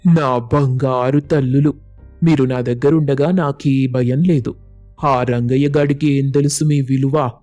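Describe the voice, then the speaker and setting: A man's voice: medium pitch, steady tone, a little slow, warm tone, soft background music, natural voice. A man playing a stingy, irritable village farmer in a moral story, complaining and scornful. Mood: doting. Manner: scornful, complaining, rhetorical questions. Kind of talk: animated storybook dialogue.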